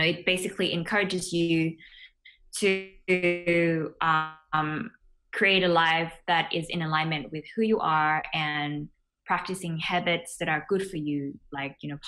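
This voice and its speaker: A woman's voice: sits low, steady tone, unhurried, fairly bright tone. Casual young woman on a video call. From Thailand. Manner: casual, many fillers like "um" and "you know". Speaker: guest, business founder. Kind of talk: interview answers.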